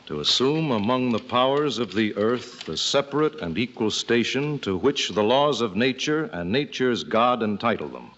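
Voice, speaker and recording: An actor playing an earnest colonial statesman in an old-time radio drama, speaking formally and deliberately. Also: medium pitch, expressive, close to an everyday voice. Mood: thoughtful. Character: Thomas Jefferson, thoughtful, earnest colonial statesman drafting aloud. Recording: muffled, noisy old radio recording.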